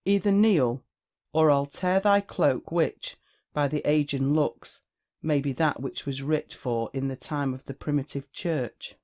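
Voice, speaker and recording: An actor playing a brazen, witty rake in a period stage comedy, declaiming with mocking insolence. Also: male voice, on the high side, measured pace, dull audio, natural voice. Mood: scornful. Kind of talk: theatrical period comedy acting.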